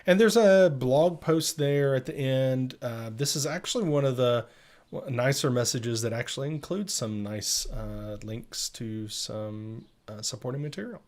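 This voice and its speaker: A man's voice: mid-range voice, unhurried, darkish tone. Man casually explaining tech news. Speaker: co-host of a tech news show.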